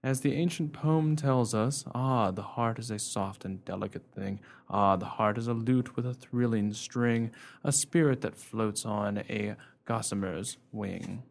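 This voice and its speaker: Man acting a pompous gentleman sea captain. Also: fairly low pitch, a little slow, vocal fry. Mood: flowery, poetic. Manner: pompous, flowery declaiming. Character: pompous, smooth-talking gentleman captain wooing a lady. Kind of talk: comic period radio play acting.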